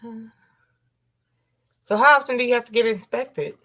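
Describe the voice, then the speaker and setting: A woman with a raspy voice hosting a casual interview, curious and quick to laugh as she reads out viewer questions. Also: fairly high pitch, fairly bright tone. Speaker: host.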